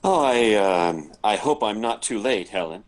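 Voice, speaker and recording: A man's voice: lowish voice, animated, unhurried. A man acting a nervous, hesitant middle-aged toy salesman in a 1940s-style radio drama. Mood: nervous, bashful. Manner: hesitant, stammering, flustered.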